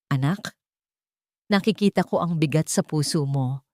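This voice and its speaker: A woman voicing a gentle, wise, steadfast elderly mother in an audio story, speaking softly and calmly. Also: deep voice, dry recording, clean studio sound. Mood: gentle, firm. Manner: soft, calm, understanding, unhurried.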